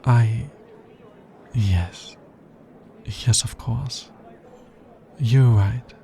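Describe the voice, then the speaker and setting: A man's voice: mid-range voice, extremely slow, creaky, warm tone, slight background noise. A man playing an anxious, smitten alien scientist in an intimate ASMR roleplay, with hesitant, earnest and precisely formal speech.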